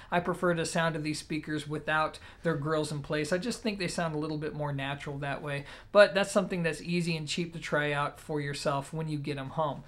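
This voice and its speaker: A man in his thirties with a slightly high-pitched voice reviews gear in a casual style. He speaks straight to his viewers, conversational and plain, like a regular host of his own channel. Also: a bit bright. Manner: conversational, addresses viewers directly. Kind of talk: casual product review to camera. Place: indoor room at home, seated.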